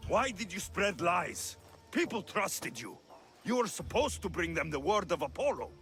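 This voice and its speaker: An actor playing a blunt, brooding young Greek mercenary in a video game, questioning and challenging others. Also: male voice, high-pitched, sing-song, moderate pace, a bit bright, faint music behind. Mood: accusing. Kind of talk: video game cutscene acting.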